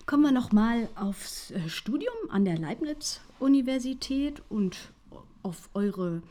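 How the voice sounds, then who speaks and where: A woman's voice: a little high, animated, measured pace, warm tone. A woman hosting an academic interview, asking questions and encouraging her guests. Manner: encouraging, frequent affirmations.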